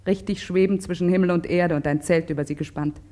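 A woman's voice: lowish voice, steady tone, mellow. Matter-of-fact woman reading a diary. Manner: terse, matter-of-fact, measured. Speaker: diary reader. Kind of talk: scripted radio drama narration, diary reading.

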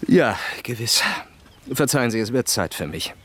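An actor playing a cool, bored, elegant gentleman officer in a radio play, detached and languidly polite. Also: medium pitch, animated, moderate pace. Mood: bored, dismissive. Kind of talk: German radio play dialogue.

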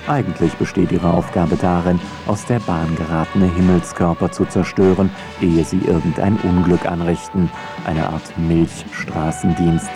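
A man narrating a scripted radio feature in a wry, measured manner. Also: sits low.

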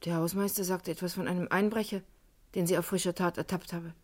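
A woman's voice: fairly low pitch, fairly even intonation, fast, darkish tone, lightly acted. Woman acting a guarded, terse female student. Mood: cool, puzzled. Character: guarded female art history student and nightclub cloakroom worker. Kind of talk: German radio crime play acting.

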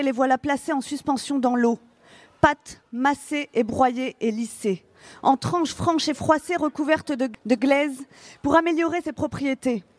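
A woman reciting spoken-word poetry in a measured, rhythmic way with deliberate articulation. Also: sits high, dry recording.

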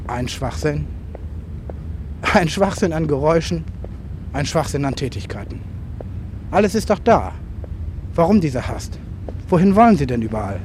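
A man with a slightly high voice strings together poetic observations in fragments and lists. It is a field recording, with faint noise of passing vehicles behind him. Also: a little mellow. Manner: fragmented, listing phrases.

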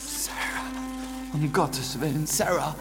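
A man's voice: a little high, big pitch swings, takes it slowly, vocal fry, over music, heavily acted. A man playing an earnest, intense young clergyman in a radio drama. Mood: frantic.

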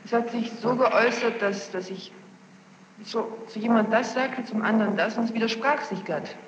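A woman giving courtroom testimony as a witness, defensive and halting, often repeating herself. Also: a little high. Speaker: witness under questioning. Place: large echoey courtroom, archive tape. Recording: very noisy muffled tape, echoey hall.

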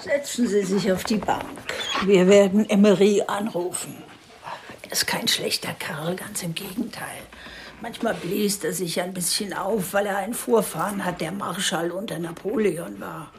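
Actress doing chatty old country lady, radio play, blunt n sure of herself, medium pitch, noisy background. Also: fairly even intonation, normal speed, close to an everyday voice. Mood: brisk. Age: older. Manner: blunt, folksy, self-assured. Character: plucky old village woman, former guesthouse keeper, blunt and chatty. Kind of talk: radio drama acting.

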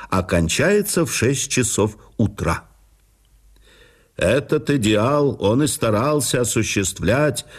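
A man reading a memoir aloud in a measured, expressive manner as the narrator of an audio production. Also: mid-range voice.

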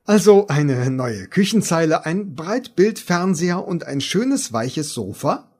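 Casting: man as game show presenter, fairly high husky voice, animated intonation, courteous parody delivery. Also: unhurried, exaggerated voice. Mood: polite, probing. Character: male TV quiz show host, polite, matter-of-fact.

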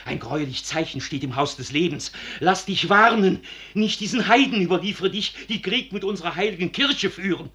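An actor playing an agitated court astrologer crying doom, beseeching and theatrical, in 1950s radio drama style. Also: male voice, high voice, expressive, moderate pace, pushed and bright. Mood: imploring. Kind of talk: 1950s German radio drama stage acting.

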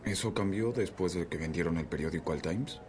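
A man dubbing a calm, measured new newspaper editor in a Spanish film dub. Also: low-pitched, slight background noise, lightly acted.

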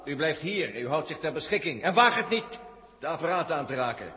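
A man's voice: a little high, very animated, fairly quick, sharp tone, big-room sound. An actor playing a bold male space traveller bluffing as a stern inspector in a 1960s radio play. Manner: commanding, emphatic, rising to stern orders. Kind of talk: 1960s radio drama acting.

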